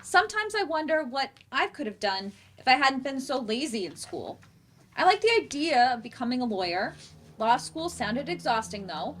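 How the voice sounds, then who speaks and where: A woman performs a middle-aged parent who feels adrift. The voice is high, and the delivery is reflective and self-deprecating, as in a stage monologue. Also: a bit bright, heavily acted. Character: self-doubting middle-aged parent, drifting but trying. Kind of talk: staged play reading, monologue.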